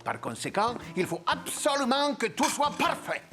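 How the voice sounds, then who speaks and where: A narrator voicing a fussy, excitable male restaurant chef who fawns over a guest in a sing-song way. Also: mid-range voice, moderate pace, faint music behind, voice pushed up.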